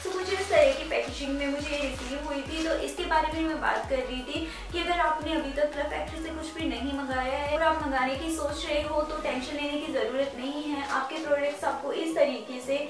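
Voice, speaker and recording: A woman's voice: high voice, steady tone, brisk, hardly any pauses. A young woman in her twenties from India, chatting casually and persuasively to viewers while showing off her shopping finds. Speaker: fashion vlogger. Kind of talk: casual shopping haul talk. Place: indoor studio with purple backdrop. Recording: very echoey room, mic at a distance, music underneath.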